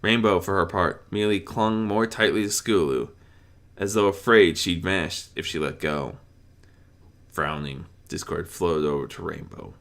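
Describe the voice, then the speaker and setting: A man reading a fan story aloud with expressive, dramatic narration, now and then stumbling over words. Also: sits low, normal speed. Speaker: amateur story reader.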